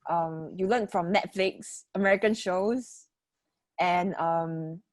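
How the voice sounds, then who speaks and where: A woman's voice: fairly low pitch, slow. A woman in her twenties from Johor Bahru with a Malaysian English accent, hosting a casual chat in a playful, teasing way. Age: 20s. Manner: playful, teasing, laughs a lot. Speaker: podcast host.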